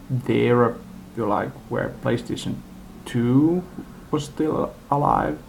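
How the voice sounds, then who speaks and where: Slow, gravelly man rambling off the cuff. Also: medium pitch, darkish tone, a little hiss or hum, poor audio. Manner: halting, rambling delivery. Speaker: amateur movie reviewer. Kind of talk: unscripted casual rant review. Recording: homemade, radio music playing behind. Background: music from a live radio.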